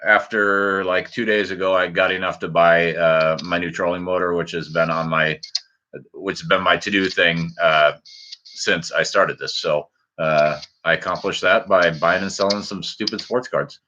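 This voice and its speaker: Low, bright-edged American man on lo-fi audio. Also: fairly even intonation, moderate pace. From Minnesota and Wisconsin, US. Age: 40s. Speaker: fishing channel host. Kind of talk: casual livestream chat. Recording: dull, lo-fi stream audio.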